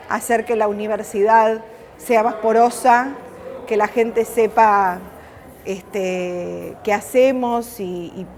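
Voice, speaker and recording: Argentine woman academic, halting and reflective. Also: a little high, darkish tone. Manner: halting, repeating words while searching for phrasing. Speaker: university academic. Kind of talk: unscripted spoken reflection on camera.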